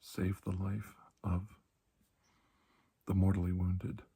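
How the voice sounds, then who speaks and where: Man playing reassuring male doctor, ASMR roleplay, very husky and breathy, dark mellow tone, slow measured soothing delivery, near-whisper. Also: low voice, little pitch movement, full character voice. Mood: solemn, hushed. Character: calm, reassuring male doctor treating a patient. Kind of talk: ASMR roleplay, hushed storytelling.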